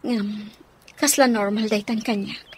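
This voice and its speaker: A woman with an Ilocano accent acting as a long-suffering betrayed wife and mother in a radio drama, her voice slightly husky. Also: mid-range voice, fairly even intonation, measured pace. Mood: hurt, resigned.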